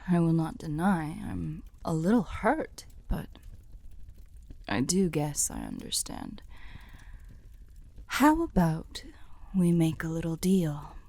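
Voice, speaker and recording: A woman playing a teasing, sly demon in a scripted ASMR roleplay, speaking formally in soft, breathy half-whispers close to the microphone. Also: deep voice, wildly swooping pitch, takes it slowly, long pauses, no room sound, full character voice. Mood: coaxing. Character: teasing, sly female demon offering a soul contract.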